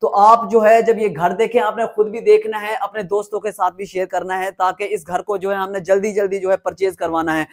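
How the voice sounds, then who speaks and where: A man with a Pakistani accent hosts a property tour in a high-pitched voice. He presents with enthusiasm, in an effusive salesman's patter that circles back on the same phrases. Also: level tone, a little fast, hardly any pauses.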